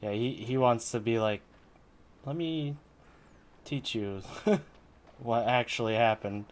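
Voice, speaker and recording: A man's voice: mid-range voice, slow pace. A man giving casual commentary on a game playthrough, muttering as he thinks aloud. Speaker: game streamer.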